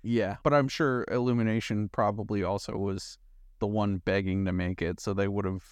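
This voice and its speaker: A man's voice: on the low side, fairly even intonation, normal speed, a little mellow, smooth, dry recording, studio quality. A young man co-hosting a casual film chat, with wry, slightly wordy phrasing. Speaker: podcast co-host. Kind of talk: casual chat about films.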